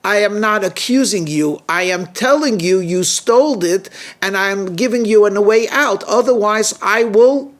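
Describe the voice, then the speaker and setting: A man voicing a shrewd, stern rabbi in a told story, speaking with deliberate authority. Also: a little high, lively intonation, vocal fry, heavily acted. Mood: furious, denouncing. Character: shrewd, commanding male rabbi, stern judge of wrongdoers.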